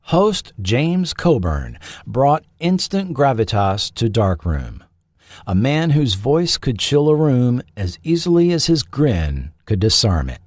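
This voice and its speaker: A man narrating a scripted documentary in a measured, formal storytelling manner. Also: medium pitch, big pitch swings, a little mellow, no echo, studio quality. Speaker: podcast host and narrator.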